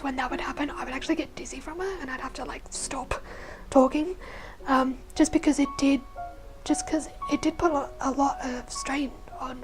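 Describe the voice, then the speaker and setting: A young woman with a husky, hoarse voice, casually telling her personal story. She rambles with lots of fillers and often drops to a soft, breathy near-whisper. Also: high voice, rough recording. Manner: rambling, with many fillers like "like" and "you know". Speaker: vlogger sharing her life story. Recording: music playing underneath the voice.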